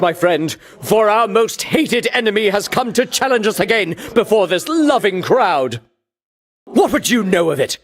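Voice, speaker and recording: A vain pompous hero warrior for a video game, high voice swinging all over, grand old-timey talk. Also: male voice. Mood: haughty, defiant. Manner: grandiose, declamatory, archaic heroic diction. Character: pompous, vainglorious male champion warrior, bombastic and scornful. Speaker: voice actor. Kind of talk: theatrical video game battle lines.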